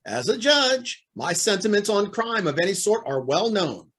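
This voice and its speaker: A man playing a stern, husky-voiced pompous judge. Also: high-pitched, big pitch swings, normal speed, a bit bright, full character voice. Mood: pompous, firm. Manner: formal, pompous. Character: stern, pompous older male judge, guarded and formal. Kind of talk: old-time radio drama acting.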